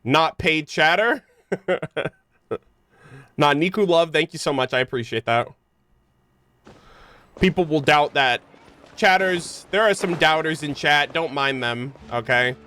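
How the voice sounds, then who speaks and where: A man's voice: fairly high pitch, a little slow, long pauses, sharp tone. A man live streaming a game, chatting informally and jokingly with his viewers in slangy language. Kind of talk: casual game streaming chat.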